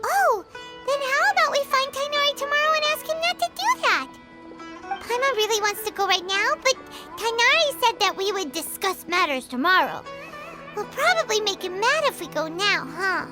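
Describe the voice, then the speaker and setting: A woman voicing a tiny, childish, excitable floating female companion who talks about herself in the third person, in a game cutscene. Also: very high voice, normal speed, music in the background. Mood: chatty.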